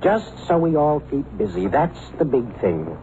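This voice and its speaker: A man's voice: medium pitch, very animated, measured pace. A man playing a chatty, shrewd neighborhood bookie with clipped, breezy patter in a vintage radio drama. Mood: breezy, genial. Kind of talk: 1950s radio drama acting. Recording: muffled, hissy old radio recording.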